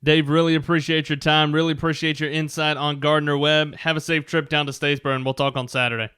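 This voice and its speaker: A man's voice: on the high side, level tone, fast, sharp tone, clear, resonant tone, no room sound, studio quality. A man hosting a sports show, asking questions and chatting in a conversational radio style. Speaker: sports show host, interviewer.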